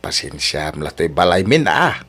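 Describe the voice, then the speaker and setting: A man acts as an older Filipino village father in a radio-style drama. He has a lowish, rough-edged voice and a humble, hospitable manner. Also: sing-song, normal speed, fairly bright tone, exaggerated voice. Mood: welcoming. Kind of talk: Ilocano audio drama acting.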